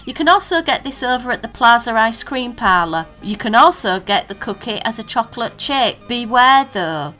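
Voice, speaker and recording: A woman's voice: on the high side, expressive, moderate pace, hardly any pauses, sharp tone, soft background music. A woman co-hosting a casual podcast chat, teasing her co-host and reading out listener messages. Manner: chatty, teasing, reads listener tweets aloud.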